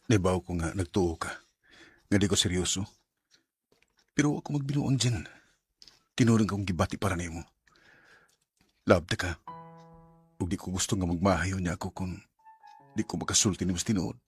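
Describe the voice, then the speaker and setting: Casting: lovestruck young man, Cebuano accent, deep husky voice, radio drama romance. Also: normal speed, long pauses, no room sound. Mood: earnest, pleading. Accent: Cebuano Filipino. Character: earnest lovestruck young man, a pleading suitor. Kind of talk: Bisaya radio drama acting. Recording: heavy music under the voice.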